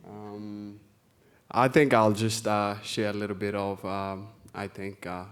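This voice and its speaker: A man in his twenties from South Sudan, giving an informal personal talk on a conference stage with a hesitant, halting delivery. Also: lowish voice, level tone, fairly bright tone. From South Sudan, born in a Kenyan refugee camp. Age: 20s. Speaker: guest speaker, youth volunteer. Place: conference stage, live event.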